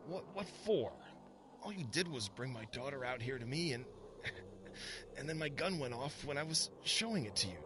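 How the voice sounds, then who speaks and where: An actor playing a weary, forgiving father in a radio drama, gentle and halting, with sighs. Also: mid-range voice, very animated, background noise, close to an everyday voice. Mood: weary, reassuring. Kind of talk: modern radio drama acting.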